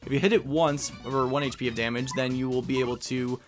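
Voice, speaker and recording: A man's voice: medium pitch, fairly even intonation, a little fast. A man hosting a let's play, chatting and explaining as he commentates over gameplay. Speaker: let's play host and video game commentator. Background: video game music.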